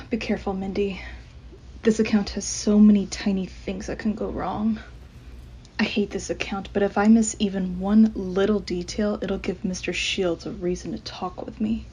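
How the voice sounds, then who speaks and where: A woman's voice: mid-range voice, level tone, normal speed, mellow, a little hiss or hum, close to an everyday voice, low-quality recording. A woman playing a shy, weary accountant in a radio mystery drama, grumbling to herself in a guarded way. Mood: wary. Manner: muttering to herself, sighing, guarded.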